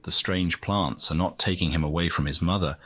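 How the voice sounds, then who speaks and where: A man performing a scripted nature-documentary narration in a game, with a solemn, measured, dramatic delivery. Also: low-pitched, pushed and bright, breathy edge, dry recording, full character voice. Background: music.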